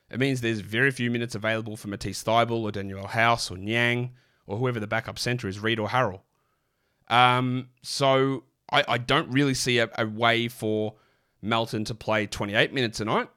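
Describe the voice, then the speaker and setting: A man, sick and croaky, hosting a casual basketball podcast with lots of rambling restarts. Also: medium pitch, fairly bright tone. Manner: rambling, chatty, many fillers and restarts. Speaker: fantasy basketball podcast host. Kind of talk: casual solo podcast talk.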